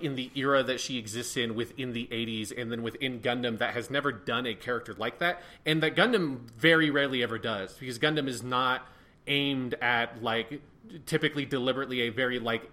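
A man's voice: mid-range voice, bright, forceful tone. A man co-hosting a casual review discussion, rambling loosely and swearing freely. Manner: rambling, many fillers and restarts, swears casually. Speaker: podcast co-host.

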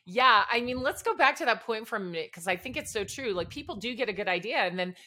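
A woman's voice: on the high side, lively intonation, fast talker, pushed and bright. A woman hosting a casual interview, asking questions in a friendly, encouraging way. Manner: friendly, encouraging, brief backchannels. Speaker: podcast host.

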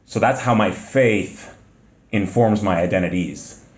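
A man speaking in an earnest, measured, reflective way as a podcast guest. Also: deep voice, echoey room. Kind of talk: podcast interview.

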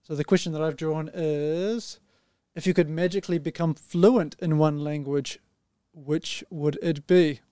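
A native English-speaking man, a department head, chatting as a guest on a podcast. His voice is mellow and smooth, a little higher than most men's. His talk is friendly and relaxed, with "you know" fillers, and the sound is dry. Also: unhurried, few pauses, no room sound. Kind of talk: casual podcast conversation.

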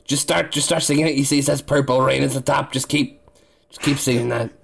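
Jokey gravelly man player. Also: mid-range voice, fairly even intonation, fairly quick, fairly bright tone, a little hiss or hum. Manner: jokey and teasing. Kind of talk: casual tabletop play.

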